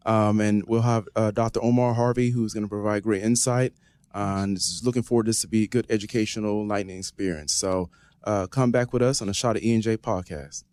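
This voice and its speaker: A man co-hosting a podcast in a conversational, somewhat halting way, repeating words as he introduces things. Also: fairly low pitch, steady tone, darkish tone, dry recording. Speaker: podcast co-host.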